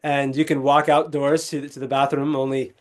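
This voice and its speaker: A young man from Texas, a legal scholar, speaking as a guest in an interview, articulate and measured with small restarts. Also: medium pitch, little pitch movement. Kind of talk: conversational interview storytelling.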